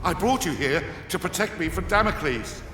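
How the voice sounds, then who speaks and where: An actor playing a cowardly, imperious Roman emperor in a game cutscene, with a frantic, theatrical delivery. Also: male voice, sits high, expressive, normal speed, very noisy. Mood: imperious alarm. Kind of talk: dramatic game cutscene acting. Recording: reverberant hall, distant, music behind.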